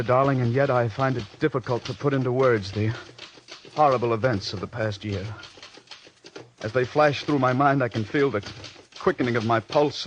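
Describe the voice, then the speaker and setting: A man's voice: mid-range voice, close to an everyday voice. An actor playing an earnest, nervous male writer in his thirties in 1940s radio drama style. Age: 30s. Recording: noisy old radio recording.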